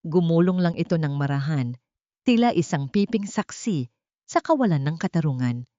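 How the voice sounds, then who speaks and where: A woman's voice: sits low, lively intonation, moderate pace, mellow. A woman narrating an audiobook drama in a solemn, melodramatic scripted style. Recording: dull lo-fi audio with room echo.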